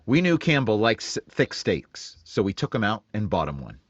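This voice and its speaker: A man's voice: lowish voice, sing-song, moderate pace, highs cut off, slight background noise. An actor playing a clipped, matter-of-fact male police detective who also narrates a radio drama. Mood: narrating. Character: terse male police detective, steady and dry.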